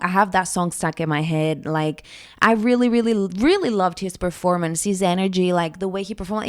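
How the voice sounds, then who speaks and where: A woman's voice: medium pitch, clean tone, no echo, studio quality. A young woman from Greece, a singer herself, chatting casually and expressively as she ranks song contest entries on her vlog. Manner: chatty and expressive, gushing with repeated words. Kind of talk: casual reaction chat.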